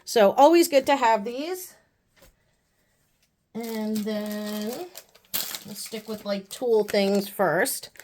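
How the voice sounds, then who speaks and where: A woman's voice: mid-range voice, very slow, pauses often, a little mellow. A woman vlogger, sick with the flu, chatting casually and rambling with lots of fillers while unboxing a haul. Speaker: beauty vlogger.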